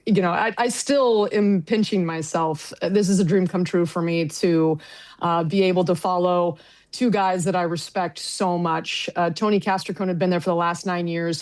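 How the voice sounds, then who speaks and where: A middle-aged American woman, a sports broadcaster, talking casually and with self-deprecating humor in an interview. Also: lowish voice. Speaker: sports broadcaster, radio play-by-play announcer.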